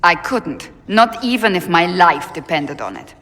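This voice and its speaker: A stern and devout warrior woman in a fantasy game. Her delivery is formal, measured and earnest. Her voice rings with long reverb, as in a stone hall. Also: lowish voice, sing-song, a bit bright. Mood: dry, self-critical. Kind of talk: video game cutscene acting.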